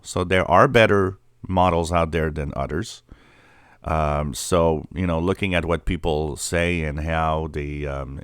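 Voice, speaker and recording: A man's voice: sits low, no room sound, studio quality. A middle-aged man from Canada giving a casual, unscripted explainer as a radio hobbyist, in a hesitant, conversational way. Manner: hesitant, conversational, repeats points plainly. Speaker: amateur radio hobbyist presenter.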